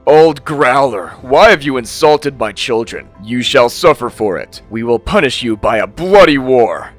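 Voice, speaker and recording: A man voicing a proud little male wren king who proclaims loudly and slowly. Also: mid-range voice, faint music behind, voice pushed up, close to an everyday voice. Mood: stern. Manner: loud, slow, proclaiming.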